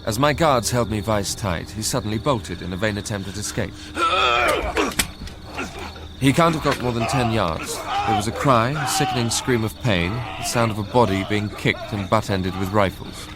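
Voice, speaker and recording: A man playing a brooding, proud young narrator in a radio drama, telling his story in measured literary phrases. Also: lowish voice, very noisy, close to an everyday voice. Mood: tense, shaken. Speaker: first-person narrator.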